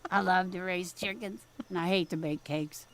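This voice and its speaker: A woman's voice: lowish voice, steady tone, moderate pace, slight background noise, close to an everyday voice. A woman playing a wry, plainspoken farm mother in an audio drama, telling homespun, rambling stories in a conversational way. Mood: wry, chatty. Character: folksy farm mother, wry, plainspoken, remorseful about her son.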